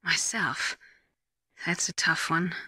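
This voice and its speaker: A woman playing a reserved, caring medical scientist in a video game, soft-spoken and measured. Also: on the low side, steady tone, husky, no room sound, studio quality, full character voice. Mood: hesitant. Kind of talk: dramatic video game acting.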